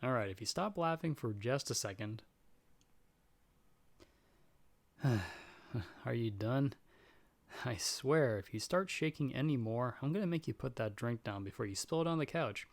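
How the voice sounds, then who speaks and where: A man's voice: mid-range voice, expressive, moderate pace, close to an everyday voice. A man playing a nervous, friendly young guy in an audio roleplay, talking in a casual, hesitant, self-deprecating way. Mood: mock exasperated. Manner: chatty, hesitant, sheepish. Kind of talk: casual one-sided roleplay dialogue.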